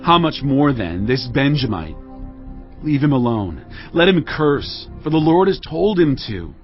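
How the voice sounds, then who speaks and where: A man's voice: medium pitch, very animated, unhurried. An actor playing a weary, humble but commanding exiled king in a dramatized audio Bible. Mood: grieved, resigned. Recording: dull audio over music bed.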